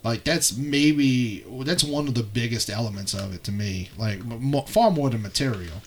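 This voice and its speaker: A man's voice: medium pitch, normal speed, a little hiss or hum. Joking man co-hosting a casual podcast. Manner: joking, profane, informal. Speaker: podcast co-host.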